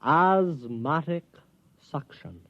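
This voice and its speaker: An actor playing an eager, stubborn amateur animal collector, earnest and blustery, in a 1950s radio comedy. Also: male voice, a little high, lively intonation, very slow, no echo. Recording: dull, dry vintage radio recording.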